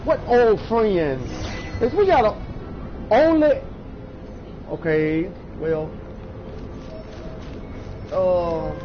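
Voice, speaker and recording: A woman comments casually on a video game in short, slangy reactions. The pace is slow but the intonation swings widely. The sound is a little dull, with music clearly behind it. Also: fairly low pitch, big pitch swings. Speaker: gamer.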